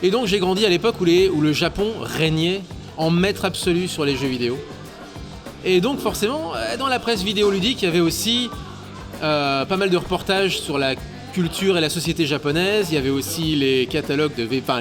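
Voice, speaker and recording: Man, thirties, chatty interview guest, slightly high voice, stammering fillers, clear bar music behind. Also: lively intonation, brisk. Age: 30s. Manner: chatty, hesitant with many fillers and stammered repeats. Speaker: guest, radio show co-host. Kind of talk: casual interview chat.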